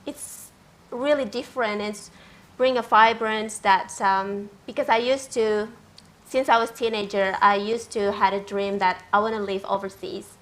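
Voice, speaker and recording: A woman from Indonesia, telling her story as a panelist, hesitant and pausing often. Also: medium pitch, fairly even intonation, slight background noise. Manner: hesitant, with frequent ums and non-native grammar.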